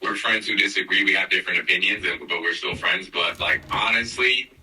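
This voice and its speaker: Male podcast host, thirties, joking and teasing, somewhat low voice, roomy sound. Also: fairly even intonation, fairly quick, bright, forceful tone, far from the mic. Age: 30s. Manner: joking, teasing, laughs often. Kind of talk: casual podcast chat.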